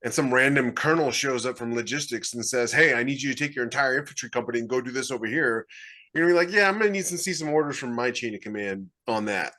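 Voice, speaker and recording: A man with a bright, forceful voice at middle pitch, talking casually as a podcast co-host. He acts out short imagined exchanges to make his points. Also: fast talker. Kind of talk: casual panel discussion. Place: indoors, on a video call.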